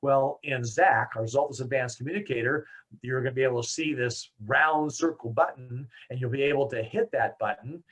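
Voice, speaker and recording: An American man presenting a software product demo in a conversational, explanatory way. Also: mid-range voice, moderate pace.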